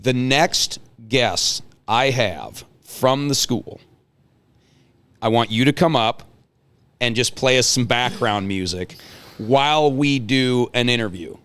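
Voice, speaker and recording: A man's voice: medium pitch, takes it slowly, fairly bright tone, clear, resonant tone. A man hosting a casual talk show, chatting in a folksy way and prodding guests with questions. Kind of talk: casual chat, interviewing guests.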